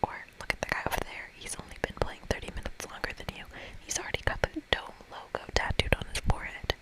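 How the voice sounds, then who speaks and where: A woman reading a video game aloud in a breathy ASMR whisper, playful and expressive, with casual asides. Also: fast talker. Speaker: ASMR gamer reading a game's dialogue aloud. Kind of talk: whispered ASMR let's play.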